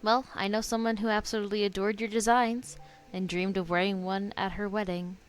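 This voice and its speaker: A woman's voice: medium pitch, little pitch movement, a little fast, clean tone. A woman reacting casually to a video game story as a streamer.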